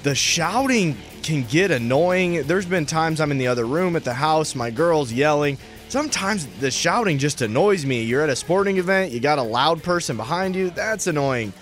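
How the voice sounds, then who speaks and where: A man's voice: a little high, expressive, moderate pace, few pauses, soft background music. A young man co-hosting a sports radio show, laid-back and easygoing, rambling through casual banter. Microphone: studio mic with headphones.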